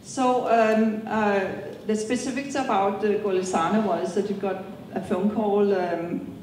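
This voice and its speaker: A middle-aged woman with a Danish accent, answering interview questions on stage and often pausing on ums and restarts. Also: mid-range voice, fairly even intonation, darkish tone, a little hiss or hum. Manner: hesitant, many ums and restarts. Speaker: company co-founder, guest. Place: event hall. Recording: echoey hall from far off.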